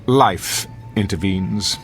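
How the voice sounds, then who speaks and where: An actor playing an arrogant, cynical drama critic in a radio drama, haughty and articulate. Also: male voice, low voice, takes it slowly, a bit bright, faint music behind, heavily acted. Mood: droll, condescending.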